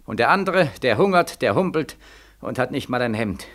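An actor playing an embittered, desperate young soldier back from war, declaiming with bitter sarcasm in a 1940s radio play. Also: male voice, medium pitch, lively intonation, normal speed, a bit bright. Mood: bitter irony. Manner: impassioned, declamatory, bitterly sarcastic, insistently repetitive. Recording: vintage 1940s radio recording.

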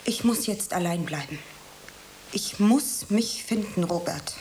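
An actress playing an earnest, self-important young beginner in the theatre, speaking dramatically in a German radio play. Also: female voice, on the low side, a little slow, natural voice. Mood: firm, self-important. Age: young adult. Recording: steady background hiss.

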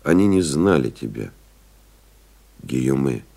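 An actor playing a pilot who recalls a lost comrade, reading solemnly, expressively and in measured literary phrases. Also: male voice, very deep voice, animated, vocal fry, warm tone, full character voice. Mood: solemn, reflective. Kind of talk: dramatic literary reading in an audio play. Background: music behind the voice.

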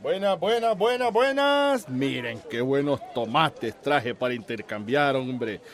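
Animated, jovial old farmer. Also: male voice, mid-range voice, slow. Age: older. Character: jovial, hearty old farmer, set in his ways. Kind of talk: radio drama acting.

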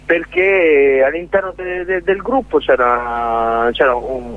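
A man being interviewed as a guest about his theatre collective, talking in a halting way with many restarts and repeated words. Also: on the high side. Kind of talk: casual radio interview. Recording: tinny phone line.

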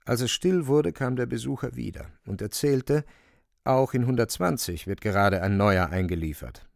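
Grave radio drama narrator, creaky voice, measured dramatic delivery, dry sound. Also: male voice, mid-range voice, animated, no echo. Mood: grave, suspenseful. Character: grave male storyteller narrating a novel.

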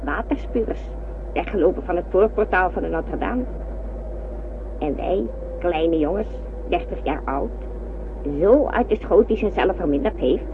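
A man's voice: high-pitched, animated, measured pace, long pauses. An actor playing a poetic male space traveller, musing in a declamatory radio play manner. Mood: wistful, grand. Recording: tinny noisy old radio recording.

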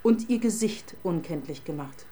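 A woman's voice: fairly low pitch, animated, moderate pace, dark tone, close to an everyday voice. An actress playing a sardonic, businesslike female police inspector in charge, in a German radio crime drama.

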